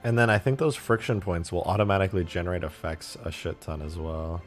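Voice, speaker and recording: A man live-streaming while he mods a game, thinking aloud, reading chat and laughing loudly at times, his voice going a bit hoarse. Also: low-pitched, steady tone, a little fast, soft background music. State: losing his voice, slightly hoarse. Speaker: modding streamer. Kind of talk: casual live-stream commentary.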